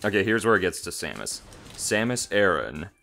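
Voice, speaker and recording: A man's voice: sits low, sing-song, normal speed, gravelly, pushed and bright, soft background music. A man hosting a gaming show, bantering casually and reading aloud over a video game.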